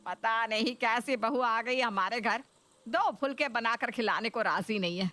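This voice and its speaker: A woman's voice: on the high side, measured pace, sharp tone. A woman voicing a nagging, demanding older mother-in-law in a Hindi cartoon story, complaining and taunting. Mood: sulky, taunting.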